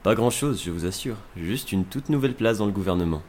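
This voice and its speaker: A man's voice: fairly low pitch, animated, brisk, darkish tone, slight background noise, natural voice. A man playing a smug, scheming, disgraced politician in a French sci-fi audio drama, mocking and self-assured. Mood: smooth, sly.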